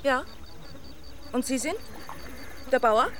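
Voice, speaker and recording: An actress in a radio drama playing a brisk, enterprising young woman on holiday. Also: sits high, animated, a little hiss or hum. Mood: brisk, curious.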